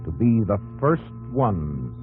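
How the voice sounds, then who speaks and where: An American actor playing a solemn, probing narrator in dramatic 1950s radio style, addressing the hero directly. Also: male voice, on the low side, big pitch swings, slow. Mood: solemn, admiring. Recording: muffled old radio broadcast, music underneath.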